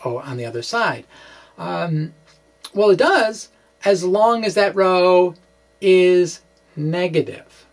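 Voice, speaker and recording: A middle-aged man giving an informal explanation to camera as a physics blogger, halting and often repeating words. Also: fairly high pitch, gravelly. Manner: halting, hesitant, full of repeated words and tags like "okay?"; chuckles as he talks. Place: small indoor room with bookshelves.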